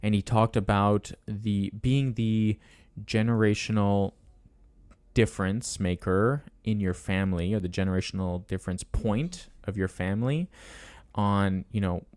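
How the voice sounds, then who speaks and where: A man's voice: fairly low pitch, a little slow, darkish tone, smooth, no echo. A man in his twenties hosting a casual fitness podcast, chatty and laughing as he tells stories. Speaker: podcast host and coach.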